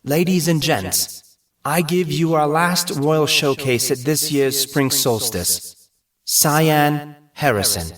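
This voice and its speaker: A man voicing a showy male master of ceremonies in an audiobook, with grand drawn-out announcing. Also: a little high, a bit bright, distant mic, voice pushed up.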